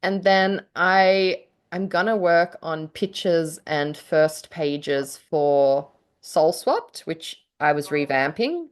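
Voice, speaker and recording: Giggly, lower-voiced woman chatting casually. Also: lively intonation, slow, clear, resonant tone. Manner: giggly, laughing often as she talks. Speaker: podcast co-host and writer. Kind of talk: casual chat.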